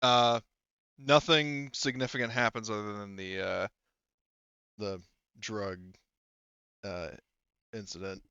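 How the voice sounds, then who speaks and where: A man's voice: fairly low pitch, fairly even intonation, slow pace, long pauses, sharp tone, clear, resonant tone, dry recording, lo-fi sound. A man casually running a tabletop roleplaying game as game master, chatting informally and swearing freely. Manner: informal, profane.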